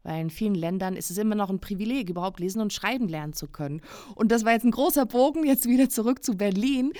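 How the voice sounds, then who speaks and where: A woman's voice: medium pitch, expressive, fast, warm tone, dry recording, studio quality. Chatty woman hosting a talk. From South Africa. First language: English. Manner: chatty and enthusiastic. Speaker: podcast host and editor. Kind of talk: casual interview chat.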